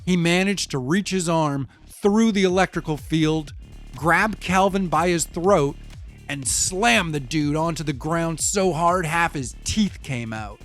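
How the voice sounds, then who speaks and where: A man's voice: on the high side, a little slow, fairly bright tone, soft background music. A man playing a rattled, defensive young eyewitness in an audio drama, telling his story in a casual, slangy, confiding way. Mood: grim, awed. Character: rattled, defensive young male eyewitness, street-talking and wry. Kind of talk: first-person audio fiction monologue, conversational storytelling.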